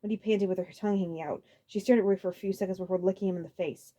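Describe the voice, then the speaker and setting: A woman reading a story aloud as a dramatic narrator, expressive, occasionally stumbling over a phrase. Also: medium pitch, racing. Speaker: audiobook narrator.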